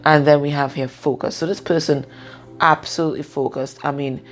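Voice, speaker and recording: A woman with a husky voice giving a casual, unscripted card reading, pausing and often checking in with the listener. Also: sits low, fairly even intonation, faint music behind. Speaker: tarot and oracle card reader.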